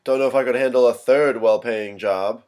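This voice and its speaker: A man's voice: medium pitch, steady tone, normal speed, darkish tone, clean tone, natural voice. A man playing an easygoing, wry young adult son in a staged play reading, talking casually.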